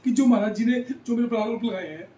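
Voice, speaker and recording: A man's voice: very high-pitched, fast, dark tone, a little hiss or hum. A man speaks as a political interviewee. He drives his points home with emphatic repetition. The sound is roomy and echoing. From Haryana, India. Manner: emphatic, repeats phrases for rhetorical effect. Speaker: former local chairman being interviewed.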